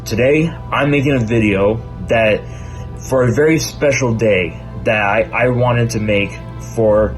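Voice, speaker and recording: A man's voice: medium pitch, a little slow, rough recording. A man speaks in an informal vlog style and often restarts or repeats his phrases. Light music plays behind him. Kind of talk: vlog intro.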